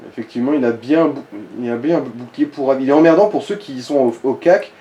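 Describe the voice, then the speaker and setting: Man, mellow dark voice, casual gaming co-commentary, slangy, roomy echo. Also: mid-range voice, fast talker, lo-fi sound. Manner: informal, crude slang. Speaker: co-commentator advising the player. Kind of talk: casual let's play commentary. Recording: roomy echo, background noise.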